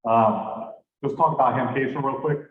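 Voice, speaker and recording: A man's voice: mid-range voice, moderate pace, a little hiss or hum. A man making casual announcements at a club meeting, talking in a loose, conversational way and correcting himself as he goes. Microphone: handheld microphone held at a distance. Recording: tinny, echoey room from far off.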